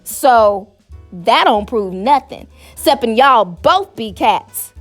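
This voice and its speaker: A woman's voice: a little high, animated, slow pace. A woman voicing a sassy, unimpressed little girl with a rural Southern US accent in a storybook, matter-of-fact, over music. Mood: defiant. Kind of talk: storybook character voice.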